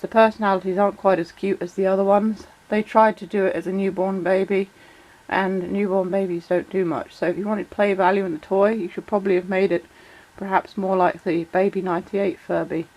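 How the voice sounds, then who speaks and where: A woman with a cold talks in a croaky, slightly sore voice, chatty and loose with plenty of ums and uhs. Her pitch sits in the middle range for a woman. She walks through toy details in a relaxed vlog style. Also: low-quality recording. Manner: chatty, many fillers, reads out shout-outs. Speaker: toy collector vlogger. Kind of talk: casual explanatory vlog.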